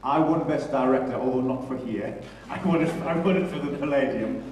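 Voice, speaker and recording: A man's voice: medium pitch, fairly quick, a little mellow. A British man with an informal, chummy way of talking, interviewing on stage as a theatre director. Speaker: pantomime director hosting an interview. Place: large echoey room at a theatre launch event. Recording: very echoey hall, distant mic, faint noise.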